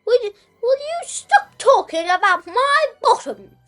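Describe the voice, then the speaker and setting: A put-upon storybook cat, played by a young boy, complaining in a very high voice. It is indignant and emphatic, leaning hard on its grievances. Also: big pitch swings, unhurried, bright, forceful tone, faint music behind, full character voice. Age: child, 8 years old.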